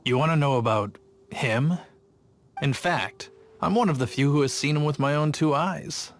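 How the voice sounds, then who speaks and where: A man's voice: on the high side, moderate pace, a little hiss or hum, lightly acted. An actor playing an earnest young male city gate guard who tells a story in an eager, rambling way, in game cutscene voice acting. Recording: game audio with faint music.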